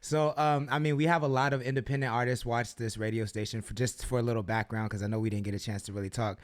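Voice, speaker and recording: A man hosting a casual radio interview, hyped and chatty, with lots of fillers and encouraging shout-outs. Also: medium pitch, steady tone, fast talker, dry recording, studio quality. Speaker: radio show host.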